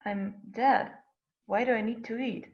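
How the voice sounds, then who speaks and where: A woman's voice: fairly high pitch, fairly even intonation, measured pace, lo-fi sound, close to an everyday voice. A woman reading the part of a confused, hesitant newly dead woman in her late twenties at a script table read. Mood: bewildered.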